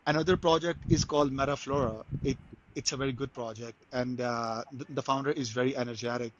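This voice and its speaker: A man's voice: mid-range voice, steady tone, fairly quick, muffled recording, slight background noise. Pakistani-raised man, eager and stumbling. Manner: frequent restarts and stumbles, enthusiastic. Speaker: guest, crypto marketer. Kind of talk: casual interview answers.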